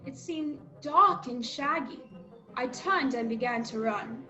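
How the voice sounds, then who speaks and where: A woman's voice: sits high, slow pace, bright, forceful tone, highs cut off, soft background music, full character voice. A woman playing a young cabin boy who narrates his adventure earnestly and dramatically in a radio play. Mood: frightened, suspenseful.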